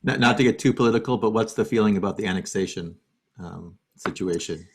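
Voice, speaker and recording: Low-voiced male host, easygoing, asks halting questions. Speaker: interviewer host. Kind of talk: casual group interview.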